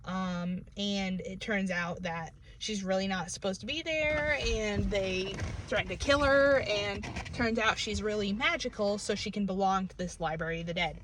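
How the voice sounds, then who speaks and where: A woman's voice: medium pitch, moderate pace, few pauses, background noise. Rambling New England woman in a car. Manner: rambling, filler-heavy, many "like" and "um". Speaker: book reviewer. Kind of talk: casual book review vlog. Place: inside a parked car. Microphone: camera in the car.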